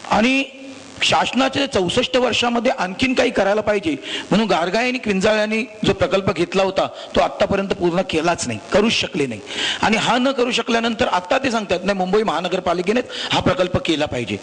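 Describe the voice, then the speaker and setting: High-pitched Maharashtrian male politician. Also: lively intonation, fairly quick, fairly bright tone, husky. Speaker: politician on a panel. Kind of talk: formal panel speech. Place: live public panel event.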